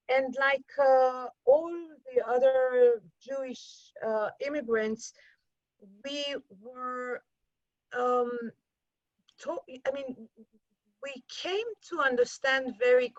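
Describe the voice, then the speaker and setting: A middle-aged woman who grew up in Iran talks in a thoughtful, halting way, stopping and restarting often as she explains. Her recording is dull and muffled, with a dry, close sound and no room echo. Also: on the high side. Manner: halting, many restarts and fillers. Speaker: guest, journalist and activist. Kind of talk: reflective conversational interview.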